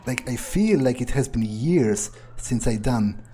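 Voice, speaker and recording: A man playing a cynical, foul-mouthed vampire in an improvised game roleplay, husky and halting, muttering scornfully. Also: medium pitch, lively intonation, mellow, a little hiss or hum, lightly acted. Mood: musing, scornful. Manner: halting, muttering, profane, sardonic.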